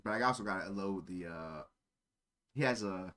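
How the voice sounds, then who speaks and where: A man's voice: sits low, normal speed, bright, forceful tone. A man streaming casual chat in a rambling, easily sidetracked way. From born in Germany. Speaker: live streamer.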